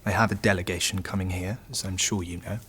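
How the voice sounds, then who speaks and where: A man playing a calculating, patient French king in a historical audio drama, with a measured, condescending manner. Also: low voice, fairly even intonation, pushed and bright, slight background noise.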